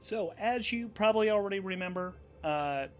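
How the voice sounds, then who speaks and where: A man's voice: high voice, expressive, moderate pace, a little hiss or hum. A man hosting and narrating a solo tabletop role-playing game, thinking aloud as he goes. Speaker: host, narrator and game master. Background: background music.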